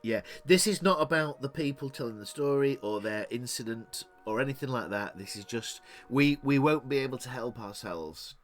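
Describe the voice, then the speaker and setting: Rambling English man, lively delivery. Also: mid-range voice, normal speed, hardly any pauses, faint music behind. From England. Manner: rambling, restarts often, laughs easily. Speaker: podcast co-host. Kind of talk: casual chat.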